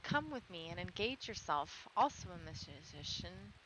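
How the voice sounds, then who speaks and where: A woman's voice: on the low side, big pitch swings, measured pace, background noise. A woman voicing a hearty, friendly old donkey who invites others along, in a storybook reading. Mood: hearty, inviting. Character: old male donkey, friendly would-be town musician.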